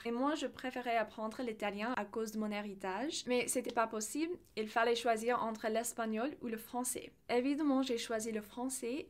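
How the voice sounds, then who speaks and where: High-voiced young woman, English-speaking learner. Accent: English-speaking learner's accent in French. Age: young adult. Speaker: language-learning video creator. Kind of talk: personal storytelling to camera.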